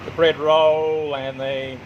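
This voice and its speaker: A man's voice: a little high, little pitch movement, takes it slowly, lo-fi sound, very noisy. Chatty Kiwi-Aussie man at a street cafe. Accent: New Zealand and Australian. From New Zealand. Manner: chatty, plain-spoken, repeats himself for emphasis. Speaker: travel vlogger. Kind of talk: casual restaurant walkthrough vlog. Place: outdoor riverside cafe with traffic nearby.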